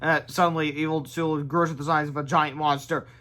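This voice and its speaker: Guy with a bright highish voice doing an animated kids book read-aloud. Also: a little fast. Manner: animated, exclaims sound effects. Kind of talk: expressive storybook read-aloud with ad-libbed asides.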